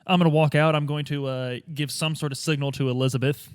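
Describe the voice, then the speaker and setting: A man's voice: on the high side, fairly even intonation, fast, smooth, no room sound. Casual male tabletop player, dry sound. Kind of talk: casual game chat.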